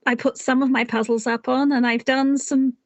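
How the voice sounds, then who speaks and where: A woman's voice: sits high, little pitch movement, normal speed, no room sound. A middle-aged woman from England with an English accent, an author and puzzle writer answering interview questions in a chatty, rambling way. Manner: chatty, rambling with restarts and small laughs.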